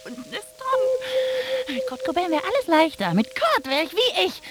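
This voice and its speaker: A woman's voice: very high-pitched, big pitch swings, a little slow, full character voice. An actress playing a moody, confused young woman doubting her love in a radio drama monologue. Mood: confused, sullen.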